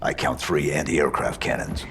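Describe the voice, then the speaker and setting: An actor playing a stoic armored super-soldier in a video game, terse and commanding. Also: male voice, steady tone, normal speed, slight background noise, exaggerated voice. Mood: stoic, calm. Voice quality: deep, gravelly. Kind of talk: cinematic video game acting. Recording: loud music behind the voice.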